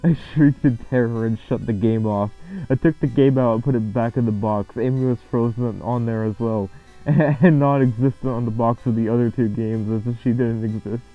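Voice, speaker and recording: A man's voice: medium pitch, fairly even intonation, moderate pace. A man narrating a creepy horror story in a dramatic reading style. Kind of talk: dramatic reading of a creepypasta, with casual reaction after. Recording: muffled, lo-fi, loud music behind. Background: eerie game music.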